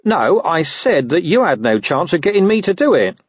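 A man's voice: a little high, very animated, normal speed, dry recording, dull audio, voice pushed up. A man voicing a wary, boastful talking dog with grumbling, streetwise speech in an audiobook. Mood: suspicious, grumbling. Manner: grumbling, cocky, uses rough slang and bad grammar.

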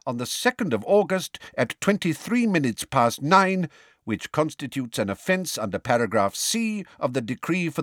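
A man's voice: fairly high pitch, fairly bright tone, no room sound, clean studio sound, voice pushed up. A man voicing a pompous, testy middle-aged government minister in an officious, declamatory manner for a storybook.

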